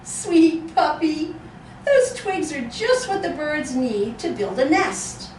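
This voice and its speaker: Woman reader as a cheerful little girl, sing-song and lively, in a roomy space off-mic. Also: sits high, takes it slowly, slight background noise, exaggerated voice. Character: cheerful little girl talking to her puppy. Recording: roomy echo, distant mic.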